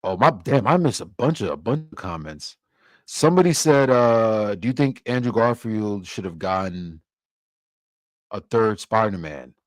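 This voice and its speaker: A man's voice: on the low side, expressive, unhurried, dry recording. Laid-back young man chatting on a livestream. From Cameroon. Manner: laid-back, loose, filler-heavy with frequent restarts. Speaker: livestream host. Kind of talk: casual livestream chat answering comments.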